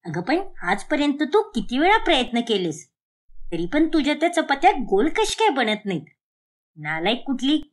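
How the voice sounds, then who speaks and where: An exasperated middle-aged mother from a cartoon family story, acted by a woman. Her voice sits high and swings widely in pitch as she berates and nags. The delivery is sharp and scolding. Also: very animated, moderate pace. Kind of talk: animated moral story dialogue.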